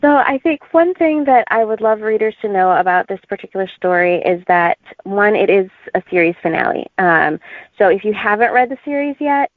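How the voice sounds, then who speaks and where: A woman's voice: medium pitch, animated, normal speed, no room sound. A woman author chatting as a guest in an interview, with lots of ums and frequent laughs. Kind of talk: casual interview over a call. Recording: tinny phone-like line.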